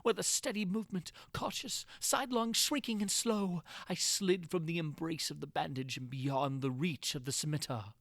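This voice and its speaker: A man's voice: a little high, normal speed, hardly any pauses, dry recording. A man narrating gothic horror as a dramatic reading, with an intense theatrical delivery. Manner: theatrical, intense delivery with big swings from hushed to crying out.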